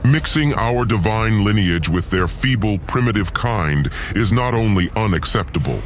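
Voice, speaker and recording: A man playing a cold, arrogant ancient god in a radio drama, delivering every line with pompous contempt. The voice sits low and steady, and faint music plays underneath. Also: normal speed, lightly acted. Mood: contemptuous. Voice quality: pompous, scornful declaiming. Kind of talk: audio drama acting.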